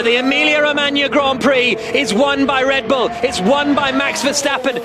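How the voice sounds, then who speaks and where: A man giving live, excitable and emphatic motor racing commentary as the lead commentator. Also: very high voice. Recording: loud engine noise behind. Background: racing cars and engine roar.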